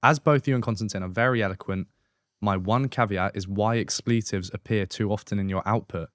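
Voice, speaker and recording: Low-voiced man reading questions. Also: fairly quick, fairly bright tone, dry recording, clean studio sound. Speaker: co-host reading listener questions.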